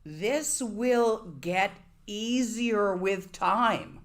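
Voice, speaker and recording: Woman, Buddhist teacher, unhurried delivery, chatty, wry humor, questions to the audience. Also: mid-range voice. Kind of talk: dharma talk to a live audience.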